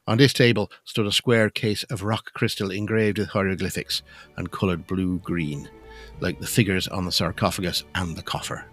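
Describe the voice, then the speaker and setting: Low-voiced male gothic narrator. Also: steady tone, normal speed, fairly bright tone, soft background music. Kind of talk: scripted narration of a gothic audio drama.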